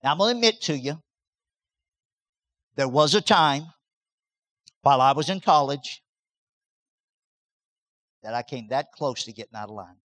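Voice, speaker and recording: A man's voice: medium pitch, expressive, measured pace, pauses often, fairly bright tone. A man, preaching a sermon in an emphatic style, with repeated words and rising bursts. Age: 60s. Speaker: pastor.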